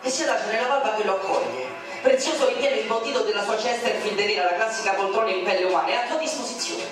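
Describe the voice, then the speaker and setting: A narrator reciting a poem in a measured, ironic way. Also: medium pitch. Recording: very echoey, distant, music behind.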